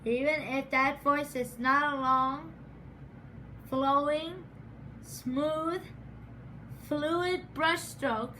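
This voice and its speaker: A woman giving a prepared speech in a deliberate, measured way, stuttering often with repeated sounds and words. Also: high voice, steady tone, bright, forceful tone, slight background noise, low-quality recording. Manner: stutters with sound and word repetitions. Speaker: program graduate giving a speech.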